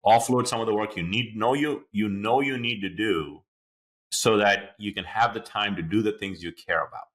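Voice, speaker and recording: Bright-voiced male podcast host. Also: on the low side, normal speed. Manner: enthusiastic, explains at length with many fillers. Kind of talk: conversational interview.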